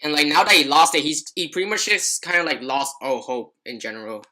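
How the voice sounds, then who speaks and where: A man's voice: on the high side, expressive, brisk, bright, forceful tone. A man hosting a podcast in casual chat, rambling and leaning heavily on filler words like "like". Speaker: podcast host.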